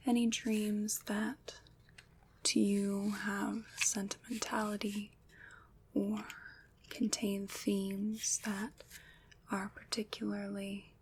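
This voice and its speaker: A gentle witch, acted by a woman, whispers in a soft, breathy voice at an extremely unhurried pace. Her phrasing is formal and slightly old-fashioned, with a soothing, caring, faintly mysterious manner suited to ASMR roleplay. The pitch sits in a middle female range, over clearly audible but light background noise. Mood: calm, explanatory.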